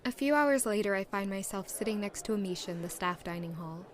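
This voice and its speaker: An actress playing an earnest young maid who narrates her own story, polite and soft-spoken. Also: medium pitch, lively intonation, fairly quick, natural voice. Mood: reflective. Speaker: maid and first-person narrator. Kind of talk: audio drama acting and narration.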